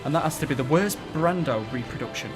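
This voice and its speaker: A man's voice: on the high side, expressive, fast, natural voice. A man acting in an audio drama as a nervous, wry character with a dry, anxious delivery. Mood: awed, wry. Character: nervous, wry man dreading a fairground ride. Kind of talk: audio drama dialogue and first-person narration. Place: recording studio. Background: music.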